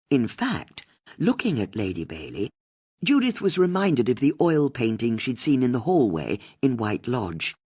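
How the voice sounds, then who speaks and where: A woman's voice: a bass voice, breathy edge. A woman reading a mystery audiobook as scripted narration.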